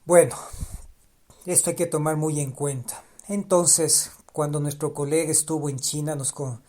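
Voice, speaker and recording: A man's voice: fairly high pitch, fairly even intonation, normal speed, a little mellow. A man speaking alone on a podcast in a reflective way, often restarting his phrases and repeating ideas for emphasis, his first language Spanish. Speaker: podcast host.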